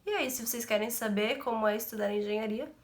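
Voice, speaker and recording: A woman's voice: on the high side, quick pace. A seventeen-year-old woman from Brazil, chatting casually to camera in her bedroom, nasal from a cold and self-deprecating. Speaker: vlogger.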